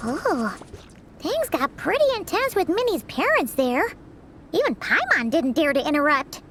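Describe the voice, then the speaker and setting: An actress voicing a tiny, childlike, chirpy companion in a video game, with a squeaky manner. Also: female voice, big pitch swings, measured pace, music in the background. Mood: awed. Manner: squeaky, speaks of herself in third person.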